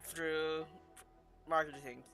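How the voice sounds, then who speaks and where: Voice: mid-range voice, level tone, drawn-out words, a bit bright. A game streamer giving casual commentary and offhand reactions while playing. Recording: game music behind the voice.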